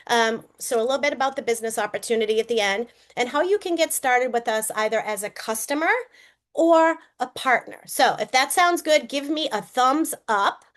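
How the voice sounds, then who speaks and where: A woman in her fifties with a Boston accent leads a live-streamed sales presentation. Her voice sits a little high. She talks to her viewers like friends, often tagging "right?" and asking them to drop a comment. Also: normal speed. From Boston area, USA. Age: 50s. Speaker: network marketing presenter.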